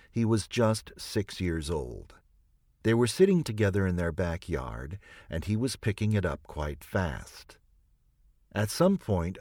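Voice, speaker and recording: Deep-voiced male audiobook narrator, expressive pitch. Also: no room sound, studio quality. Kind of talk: scripted audiobook narration of nonfiction.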